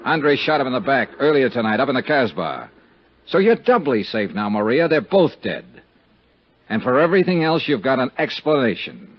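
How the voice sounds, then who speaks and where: An actor playing a tough, cynical American investigator in a 1950s radio drama, clipped, slangy and sardonic, both narrating and in dialogue. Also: male voice, medium pitch, big pitch swings, moderate pace, no echo. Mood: cold, sardonic. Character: hard-boiled male investigator, cynical, tough and world-weary. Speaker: lead actor and narrator of a radio detective serial. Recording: muffled, noisy 1950s radio recording.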